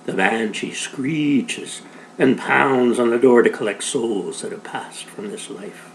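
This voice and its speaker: A man voicing a father who tells a ghost story in a slow, theatrical, hushed way. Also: mid-range voice, gravelly, a little hiss or hum, poor audio. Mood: spooky. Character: father telling a spooky ghost tale.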